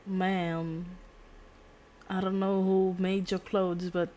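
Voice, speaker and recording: Slow-paced woman streamer, casual and rambling. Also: mid-range voice, little pitch movement, dark tone. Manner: giggly, rambling. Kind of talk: casual livestream game commentary. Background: video game audio.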